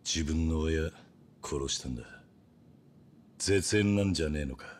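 An actor playing a stoic, terse yakuza lieutenant in prison, gruff and commanding, in a crime video game. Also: male voice, very deep voice, a little slow, slight background noise. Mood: grave, subdued.

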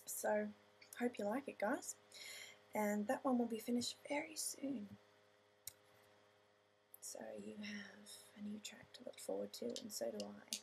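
A woman's voice: medium pitch, sing-song, unhurried, long pauses, a little mellow. A young woman on a webcam in a small dim room, chatting dreamily and playfully about her new song, her voice a little scratchy. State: slightly scratchy voice. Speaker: singer-songwriter vlogger. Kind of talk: casual webcam chat and singing a song demo. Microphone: webcam at close range.

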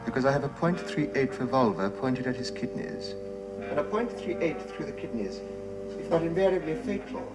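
An actor playing a polite English gentleman holding people at gunpoint, speaking dryly, ironically and precisely, in a 1970s TV drama. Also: medium pitch, animated, moderate pace, over loud music, natural voice. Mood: wry. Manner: dry, ironic, precise and courteous.

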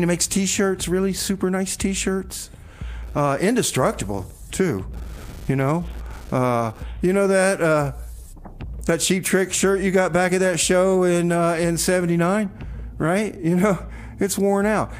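A man's voice: fairly high pitch, slight background noise. A man hosting a community radio show with casual comic patter, rambling and repeating his phrases in a folksy way.

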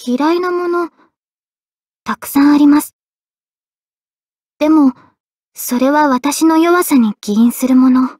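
A woman playing an earnest, polite, quietly self-deprecating young female mage in expressive anime game voice lines. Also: high-pitched, slow, long pauses, no echo, studio quality. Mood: somber. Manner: polite, expressive, shifting between excited and subdued.

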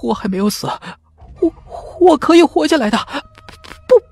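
A man voicing a gravely wounded young male martial artist in an audiobook, desperate and vengeful, shrieking and pleading in halting phrases. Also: very high-pitched, animated, a little mellow, soft background music, pitched above the performer's own voice, full character voice. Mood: terrified pleading. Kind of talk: acted audiobook dialogue.

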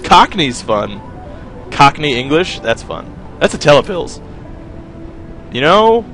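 A young man chats his way through a game run, rambling and poking fun at himself as he addresses his viewers. His pitch bounces around animatedly from line to line. Quiet game music plays softly under his voice. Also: big pitch swings, takes it slowly. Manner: rambling, joking, self-mocking, talks to viewers. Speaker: gaming video host. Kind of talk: casual gameplay commentary. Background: faint game music.

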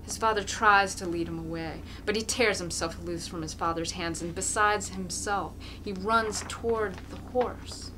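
A woman's voice: mid-range voice, moderate pace, hardly any pauses, background noise. A woman reading a literary story aloud as an amateur narrator, in a dramatic, hammy style. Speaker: amateur reader.

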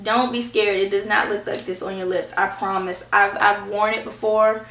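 A woman in her twenties, a little hoarse from a cold, reviewing lipsticks in a chatty, reassuring way, teasing herself now and then. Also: medium pitch, flat delivery, normal speed, fairly bright tone, slight background noise. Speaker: beauty vlogger. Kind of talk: casual product review. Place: small indoor room at home. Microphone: camera in front at close range. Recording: echoey room.

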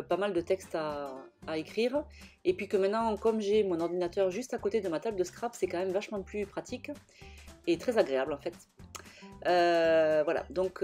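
A young woman narrating her own craft video in a casual, halting way, full of fillers and restarts. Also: medium pitch, dark tone. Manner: hesitant, many fillers and restarts. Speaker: scrapbooking vlogger.

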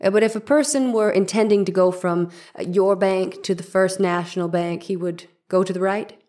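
A woman playing a formal, deliberate trial lawyer in a dramatic reading of an old court case. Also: medium pitch, steady tone, warm tone, lightly acted. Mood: probing, formal. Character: formal, probing trial lawyer cross-examining a witness.

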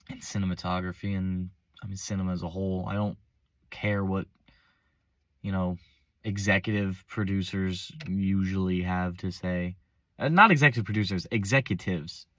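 A young man with a hoarse voice from a cold, rambling casually and unscripted, recorded on a phone camera in his parked car. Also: low-pitched, fairly bright tone, no echo, clean studio sound. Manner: rambling, self-interrupting, casual slang. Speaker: vlogger. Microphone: phone front-facing selfie camera.

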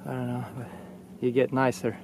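A man's voice: mid-range voice, completely flat delivery, a little fast. A man in his thirties chatting to camera while hiking an outdoor mountain trail, filmed at arm's length. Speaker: vlogger. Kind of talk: casual travel vlog. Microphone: handheld camera at arm's length. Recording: loud outdoor noise on camera mic.

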